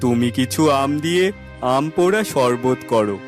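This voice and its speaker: A man's voice: mid-range voice, lively intonation, normal speed, close to an everyday voice. Man acting a worried village farmer, music behind. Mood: weary. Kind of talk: cartoon moral story acting. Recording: voice over background music.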